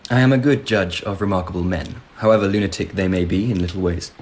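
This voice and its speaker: An actor playing an imperious, whimsical emperor in a radio drama, with pompous, regal delivery. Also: male voice, deep voice, fast talker, slight background noise, close to an everyday voice. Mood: imperious displeasure. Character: imperious, whimsical, easily swayed Japanese emperor.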